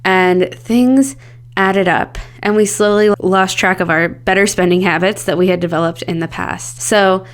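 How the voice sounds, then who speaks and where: A woman's voice: medium pitch, steady tone, measured pace. A woman in her thirties hosting a solo podcast, talking in an earnest, candid and upbeat way, her voice a bit scratchy from a cold. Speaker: podcast host.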